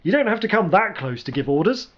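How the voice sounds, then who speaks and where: A man's voice: sits high, very animated, quick pace, lo-fi sound, voice pushed up. A man voicing a dim, laid-back male army grunt with an oblivious slacker manner in a storybook reading. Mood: offhand.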